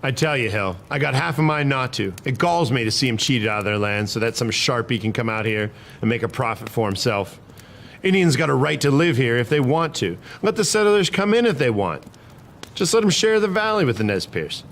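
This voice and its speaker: Actor as principled cavalry soldier, male, mid-pitched, folksy frontier talk, argumentative, historical drama, faint background noise. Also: animated, a little fast, gravelly, fairly bright tone. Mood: indignant. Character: principled, stubborn cavalry private defending the Nez Perce.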